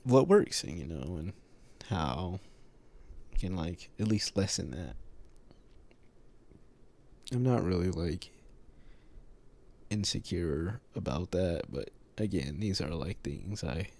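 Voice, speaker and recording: Low gravelly man, halting, full of fillers. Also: animated, darkish tone. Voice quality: slightly nasal. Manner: halting, many fillers and pauses. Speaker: vlogger. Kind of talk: casual reflective monologue.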